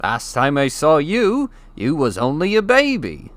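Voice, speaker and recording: A man's voice: on the high side, wildly swooping pitch, a little slow, no echo, voice pushed up. A man voicing a huge, brash, jovial bearded giant in a storybook reading, with folksy, colloquial speech and dropped endings. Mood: fond.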